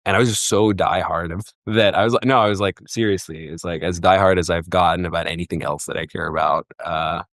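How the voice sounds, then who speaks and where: A man's voice: deep voice, fairly even intonation, fast, few pauses, a bit bright, no echo, studio quality. A young man from Egypt talking casually as a podcast guest, rambling with many fillers and restarts. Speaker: guest, festival booker.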